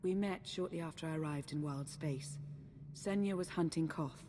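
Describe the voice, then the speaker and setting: Low-voiced woman as solemn, guarded adviser. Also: big-room sound, background noise, close to an everyday voice. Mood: calm, reflective. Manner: measured, earnest. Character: calm, guarded, solemn female adviser and ally. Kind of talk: video game cutscene acting.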